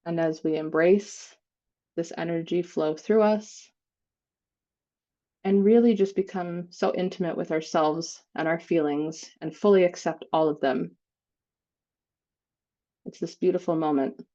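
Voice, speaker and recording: A woman leading a guided spiritual meditation, speaking in unhurried phrases with long pauses between them. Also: lowish voice, creaky, dark tone, highs cut off.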